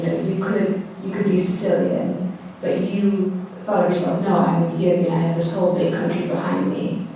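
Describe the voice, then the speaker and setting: Audience woman, mellow tone, rambling polite question, echoey hall heard from far off. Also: lowish voice. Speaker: audience member asking a question. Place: lecture hall. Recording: roomy echo, distant mic, faint room noise.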